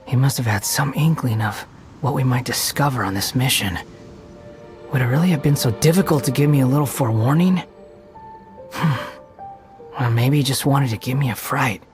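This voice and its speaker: A man's voice: medium pitch, moderate pace, pauses often, lightly acted. A man voicing a haughty young villain in an anime video game, mocking, sneering and theatrical. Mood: irritated musing. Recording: game music behind. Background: game music.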